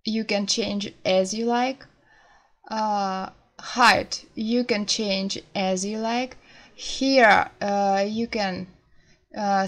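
A young woman with a Russian accent, teaching beginners graphic design software in friendly, simple English with small slips, from a small home office. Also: mid-range voice, echoey room, far from the mic.

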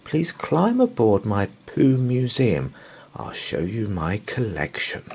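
A man's voice: fairly low pitch, huge pitch swings, slow, creaky, darkish tone, slight background noise, heavily acted. Man playing a flamboyant, swooping storybook showman. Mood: proud, excited. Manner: boastful, theatrical salesman patter. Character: flamboyant, eager showman who collects exotic poo.

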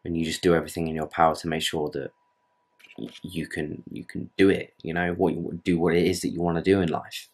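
Low husky-voiced young man vlogging. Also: flat delivery, a little fast. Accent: British English. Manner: rambling, earnest, swears casually. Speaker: fitness vlogger. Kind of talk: casual vlog talk to camera. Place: bedroom at night, camera close up.

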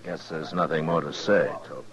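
An actor playing a weary, steady frontier marshal in a 1950s radio western, terse and grave, with an American accent. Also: male voice, a bass voice, normal speed. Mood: firm, resigned. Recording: dull 1950s radio recording.

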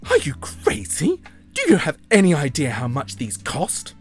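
A man's voice: on the high side, wildly swooping pitch, normal speed, soft background music. A man voicing a vain, fashion-obsessed schoolgirl from a storybook, whiny, sassy and dramatic. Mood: horrified outrage.

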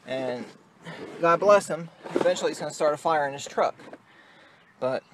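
A man with a slightly higher-than-average voice talks through a hands-on tutorial in a casual, unscripted way. He is plain-spoken and sounds occupied with the job as he explains it. The handheld recording from a truck cab carries faint vehicle noise. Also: normal speed. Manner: plain-spoken, hands busy while talking. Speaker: amateur video maker giving a how-to. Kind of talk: casual improvised tutorial talk. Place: in and around a pickup truck cab. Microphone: handheld phone or camera close to the work.

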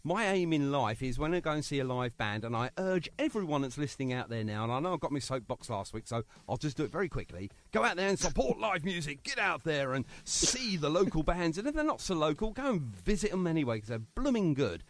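A man's voice: fairly high pitch, very animated, fairly quick, hardly any pauses. A man hosting a radio show, chatty and jokey, interviewing a guest. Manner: chatty, jokey, self-mocking.

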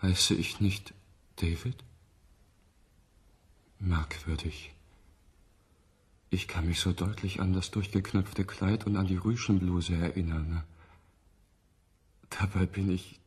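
Husky, entranced young test subject. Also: male voice, low-pitched, steady tone, full character voice. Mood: wondering, confused. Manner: halting, searching, wondering delivery. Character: young male test subject reliving an ancestor's memories in trance. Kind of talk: German radio play acting.

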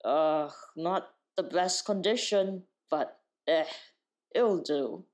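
A woman playing a gentle, hesitant pink creature in a cartoon comic dub, speaking tentatively. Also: lowish voice, slow. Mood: awkwardly gentle. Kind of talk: animated comic dub acting.

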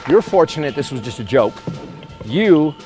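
A man's voice: on the high side, very animated, moderate pace, loud music behind the voice, a loud crowd. A middle-aged American man, a gym instructor, addressing a group in an emphatic, informal way. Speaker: gym instructor leading a challenge. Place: large gym hall with onlookers.

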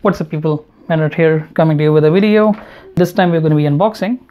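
A man narrating an unboxing and product review in a measured, matter-of-fact way. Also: on the high side, lo-fi sound. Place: indoor room at home, at a table. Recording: home recording with clear background noise. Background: noise, handling clicks.

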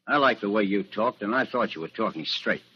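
Lively low-voiced police chief. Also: male voice, a little fast, husky. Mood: firm. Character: plain-spoken, steady small-town male police chief. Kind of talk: 1940s radio acting. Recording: dull, noisy old radio recording.

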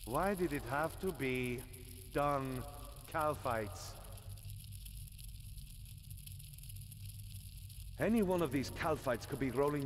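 A man's voice: medium pitch, slight background noise. A man playing a whiny, wisecracking, easily flustered adventurer's companion in a video game, with theatrical, hesitant grumbling. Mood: exasperated. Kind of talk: animated video game quest dialogue. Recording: long cavernous reverb, music behind.

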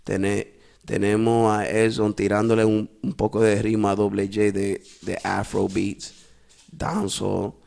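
A man's voice: fairly low pitch, steady tone, normal speed, vocal fry, darkish tone. A young man from Brooklyn, a musician guest talking casually in a small podcast studio, slipping between English and Spanish and often saying "you know what I mean.". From East New York, Brooklyn. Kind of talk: casual interview talk. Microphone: studio mic with headphones.

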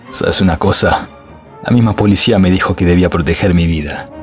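A man's voice: sits low, normal speed, faint music behind, lightly acted. An actor playing a scheming, murderous man in a radio drama, with a Rioplatense accent, sneering and laughing. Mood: triumphant. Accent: Rioplatense Spanish. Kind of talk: online radio drama acting.

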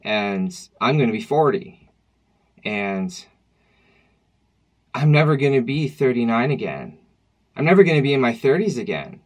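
A man's voice: mid-range voice, very animated, unhurried, long pauses. A man in his thirties talking to camera at home in a personal vlog, reflective and wry, with loose conversational restarts. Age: 30s. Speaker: vlogger. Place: dim room at home, indoors.

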